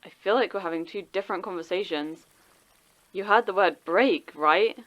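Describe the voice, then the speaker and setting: A woman acting a caring but wounded friend, a schoolteacher, in an audio drama, gently persuasive and wry. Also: on the low side, moderate pace, muffled recording, lightly acted. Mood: hurt.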